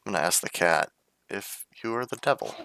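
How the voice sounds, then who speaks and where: A low, gravelly man plays a game live and talks through his choices. His speech swoops widely in pitch as he moves from casual asides into reading the game's lines in different voices. He is recorded close to the mic, with a dry, bright sound. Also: lively intonation, fairly quick, no room sound, low-quality recording. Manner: reads game characters' lines in varied voices, playful and chatty. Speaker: streamer. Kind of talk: reading game text aloud with casual commentary.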